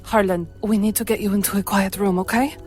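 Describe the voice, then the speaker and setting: A woman's voice: mid-range voice, steady tone, a little fast, darkish tone, soft background music, natural voice. A woman voicing a wary, protective female adventurer in a tabletop game, urgent, terse and commanding. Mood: urgent, insistent.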